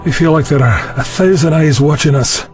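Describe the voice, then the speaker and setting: A man's voice: on the high side, moderate pace, darkish tone, faint music behind, lightly acted. A man voicing a sardonic, skeptical young official in an audio drama, dry and sarcastic.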